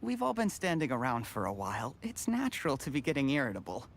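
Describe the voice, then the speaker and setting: A woman's voice: a bass voice, wildly swooping pitch, fast talker, slight background noise, exaggerated voice. An actress playing a sensible, firm woman in a video game, reasoning and placating as a peacemaker. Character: sensible, firm female peacemaker among exam candidates.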